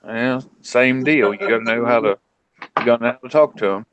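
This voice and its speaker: A man's voice: medium pitch, a little fast, highs cut off, noisy background. A man with a Southern accent hosting a casual late-night chat, telling rambling folksy stories with crude asides. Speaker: host.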